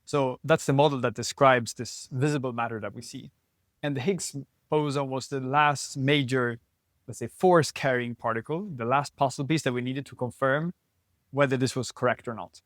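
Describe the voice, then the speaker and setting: A man in his twenties from northern Sweden, a physics PhD student, calmly explaining his research in an interview. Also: mid-range voice, normal speed, no room sound, clean studio sound. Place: lab building at a physics facility.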